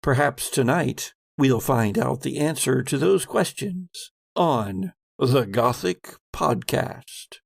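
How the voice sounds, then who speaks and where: A man speaks as a game master, theatrically recounting a horror story. His delivery is slow and deliberate, with a gravelly edge, and the recording is close and dry. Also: medium pitch, expressive, no echo. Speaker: game master and podcast host. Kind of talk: dramatic recap narration of a tabletop horror game.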